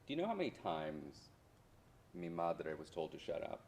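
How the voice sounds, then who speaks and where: An actor playing a history professor, the grown son of deported immigrants, reminiscing in a theatrical monologue that swings from hushed to loud. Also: male voice, low-pitched, huge pitch swings, normal speed, slight background noise, heavily acted. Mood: sorrowful. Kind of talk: dramatic monologue in a staged play reading.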